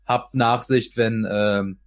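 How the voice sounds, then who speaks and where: A man's voice: lowish voice, fairly even intonation, slow. A man hosting a casual podcast, talking informally and rambling with repeated starts. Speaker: podcast host, hobby cartoon maker.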